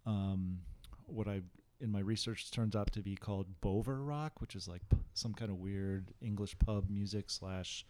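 A man co-hosting a casual podcast, talking in a halting, self-deprecating way. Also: fairly low pitch, warm tone. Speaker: podcast co-host.

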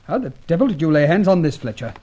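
An actor playing a wary, harried British government official in a vintage radio drama, clipped and formal with an exasperated edge. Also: male voice, fairly high pitch, big pitch swings, fast talker, warm tone, breathy edge, highs cut off. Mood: wary, grudging. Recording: noisy old radio recording with clicks.

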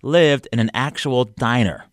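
A man's voice: mid-range voice, a little slow, no echo, studio quality. A man hosting a casual interview who answers his guest often and asks questions conversationally. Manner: responsive, frequent backchannel, laughs. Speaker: radio interview host.

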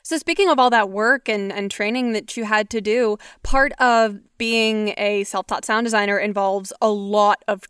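A woman's voice: fairly high pitch, fairly bright tone, clear, resonant tone, dry recording, studio quality. A woman hosting a podcast, asking articulate, curious questions about sound design. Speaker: podcast host interviewing guests. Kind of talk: interview questions.

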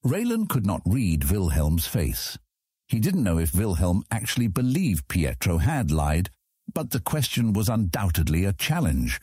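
A man narrating a fantasy audiobook in a measured, dramatic storytelling manner. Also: deep voice, big pitch swings, mellow, husky, dry recording, studio quality.

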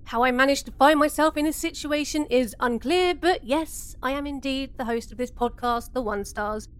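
A woman's voice: sits high, normal speed, clean tone, close to an everyday voice. A woman playing a bitter, sardonic podcast host in an absurd comedy audio drama, jaded and mock-solemn.

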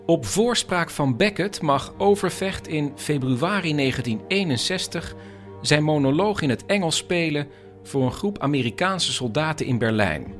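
A man's voice: on the high side, animated, normal speed, faint music behind. A man reading scripted narration in the style of a radio documentary.

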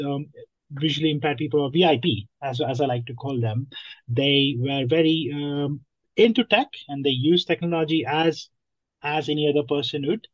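A man's voice: a little high, no echo. Hesitant young man from Nepal, dry muffled sound. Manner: hesitant, many ums and restarts. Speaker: guest, app founder. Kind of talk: podcast interview.

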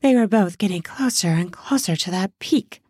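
A woman narrating a scripted erotic story in an intimate, deliberate manner with drawn-out phrasing. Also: on the low side, lively intonation, mellow, breathy edge, dry recording, clean studio sound.

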